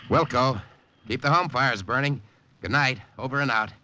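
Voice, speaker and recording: An actor playing an earnest American secret agent in an intense 1950s radio drama style. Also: male voice, mid-range voice, moderate pace, noisy background, natural voice. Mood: wry, steady. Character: earnest American secret agent behind enemy lines, narrating his story. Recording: old radio broadcast with music.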